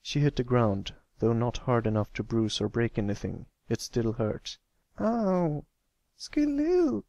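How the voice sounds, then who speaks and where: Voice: mid-range voice, fairly even intonation, normal speed, hardly any pauses, darkish tone, no room sound, lo-fi sound. An amateur reader giving a scripted narration of a story.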